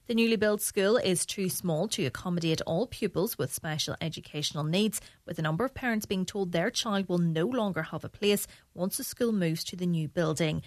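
A woman with an Irish accent presents news from a script. Her voice sits on the low side, recorded close and dry in a studio. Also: normal speed, few pauses, no room sound.

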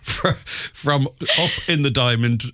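A man's voice: mid-range voice, a little slow, pushed and bright. A man hosting a live radio interview, chatty and encouraging, with plenty of fillers and restarts. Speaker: radio host.

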